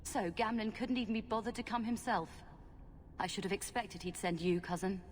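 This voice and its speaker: An actress playing a young female rogue in a fantasy game, sharp-tongued, sassy and defiant. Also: medium pitch, a little fast, big-room sound, slight background noise, lightly acted. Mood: bitter. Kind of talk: dramatic game dialogue.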